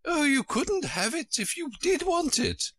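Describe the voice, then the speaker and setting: Man putting on a flustered old queen, high fretful wail, storybook, bright dry voice. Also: very animated, a little slow, no room sound, heavily acted.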